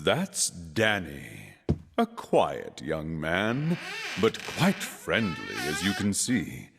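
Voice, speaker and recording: An actor playing a mock-polite, gleefully macabre haunted-house guide in a horror game, with drawn-out theatrical narration. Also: male voice, low voice, wildly swooping pitch, creaky, over loud music, full character voice. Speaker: attraction announcer. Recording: long hall reverb, faint noise.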